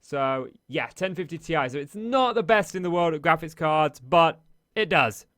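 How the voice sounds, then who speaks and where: A man with a high-pitched voice talks through his game in easy running commentary, calling out each step as he goes. His voice is slightly hoarse, as if he is losing it. The sound is dry, with no room echo. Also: lively intonation, moderate pace, sharp tone. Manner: chatty, narrates each action as he plays. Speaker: Minecraft let's play host. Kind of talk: casual gameplay commentary.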